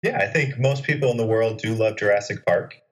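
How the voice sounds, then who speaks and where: A low-voiced man co-hosts a podcast with a dry, joking streak. He trades sarcastic asides and drops casual swears into the conversation. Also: a little fast. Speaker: podcast co-host.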